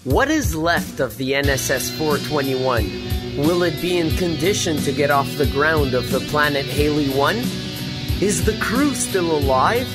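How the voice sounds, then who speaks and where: Animated woman narrating a serial. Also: deep voice, measured pace. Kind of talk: scripted dramatic serial narration. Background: loud music with guitar.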